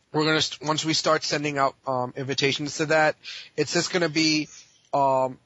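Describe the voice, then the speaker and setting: A man's voice: mid-range voice, fairly bright tone, no echo. A man speaking as a podcast guest in casual, informal, slangy chat. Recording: dull audio with faint noise.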